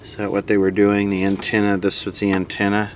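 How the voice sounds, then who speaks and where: A man's voice: fairly low pitch, completely flat delivery, a little fast, slight background noise. A man giving casual off-the-cuff commentary as he looks over old equipment, musing aloud and asking himself questions with a sardonic streak. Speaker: host of a vintage radio repair video.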